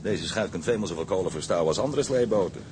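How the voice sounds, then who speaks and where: An actor playing a hearty, boastful, gossipy ship's engineer in a Dutch radio drama, talking in a chummy, colourful, colloquial way. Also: male voice, sits low, animated, quick pace. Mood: proud, hearty. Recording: noisy engine ambience behind.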